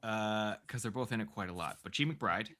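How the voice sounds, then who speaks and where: A man's voice: lowish voice, moderate pace, pushed and bright. A man chatting casually as co-host of a podcast. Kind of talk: casual chat.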